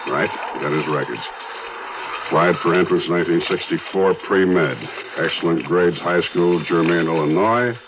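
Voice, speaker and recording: An actor playing a methodical police detective in a 1970s radio drama, terse and matter-of-fact. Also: male voice, low voice, moderate pace, background noise, natural voice. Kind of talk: 1970s radio drama acting and first-person narration. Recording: dull 1970s radio recording, music behind.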